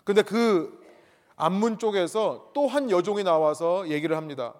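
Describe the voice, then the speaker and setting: A man's voice: sits high, expressive, normal speed, darkish tone, long reverb tail. A man preaching a sermon as a pastor, emphatic, repeating his key points and asking the congregation rhetorical questions.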